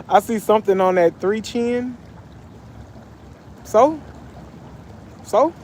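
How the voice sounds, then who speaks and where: Young man from Texas, high voice, slangy livestream chat, phone mic, faint outdoor background noise. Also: takes it slowly, darkish tone. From Texas, USA. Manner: loose, slangy, chatty. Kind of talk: casual live chat reading comments. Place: outdoors by a pool.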